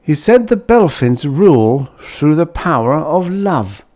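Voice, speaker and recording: A man's voice: on the high side, big pitch swings, a little mellow, voice pushed up. Man playing earnest, stiffly indignant young loyalist. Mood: naively sincere. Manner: stiff, indignant, halting for words. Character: earnest, naive, priggish young man loyal to alien rulers.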